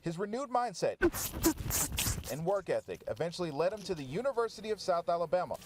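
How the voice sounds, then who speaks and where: A man's voice: sits high, very animated, brisk, background noise. A man reporting sports news, narrating feature stories in a polished broadcast delivery.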